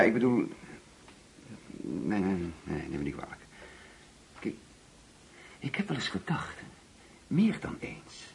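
A man's voice: medium pitch, slight background noise. An actor playing a middle-aged father in a Dutch radio play, hospitable but bitter, probing and repeating himself when agitated.

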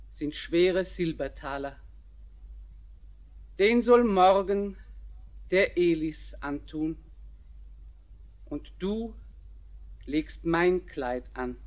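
An older woman playing a solemn grandmother in a German radio drama, speaking in a measured, dignified and deliberate way. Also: on the low side, a little mellow.

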